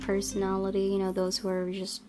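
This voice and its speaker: A woman's voice: mid-range voice, completely flat delivery, fairly quick. Woman reading game narration over guitar. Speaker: let's-play host. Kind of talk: reading visual novel narration aloud, with commentary. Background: guitar music.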